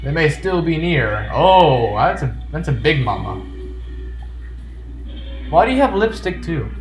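A man's voice: on the high side, sing-song, a little slow. A man giving casual, jokey gameplay commentary, often talking back to the game's characters. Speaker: gaming video host. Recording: roomy echo with game music behind. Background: video game music.

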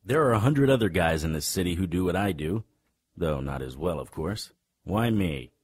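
An actor playing a world-weary, wisecracking supernatural private eye in a noir radio drama, with sardonic old-detective patter and a husky voice. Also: male voice, low-pitched, expressive, normal speed. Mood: guarded, wry.

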